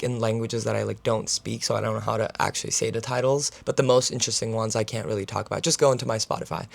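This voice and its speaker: A young man in his twenties, a student, chatting casually to camera in a rambling vlog, full of fillers, his voice raspy right now. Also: mid-range voice, fairly bright tone. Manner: filler-heavy, talks with his hands. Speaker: student vlogger.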